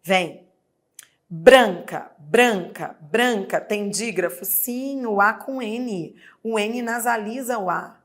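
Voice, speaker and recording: A woman's voice: mid-range voice, slow. A woman with a Brazilian Portuguese accent teaching a recorded exam-prep lesson, explaining step by step and checking in with the viewer. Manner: explanatory, addresses viewer directly, frequent "né?" checks.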